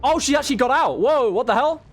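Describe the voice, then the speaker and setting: A man's voice: very high-pitched, animated, fast. A man giving casual, informal gameplay commentary as a video host, with slang and mild swearing.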